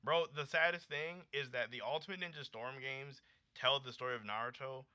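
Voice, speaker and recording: A young American man in his late twenties talks casually as a livestream host. His voice is very bright and punchy, with a slangy, animated delivery. The audio is slightly dull and lo-fi. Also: medium pitch, brisk, no echo. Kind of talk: casual livestream chat with viewers.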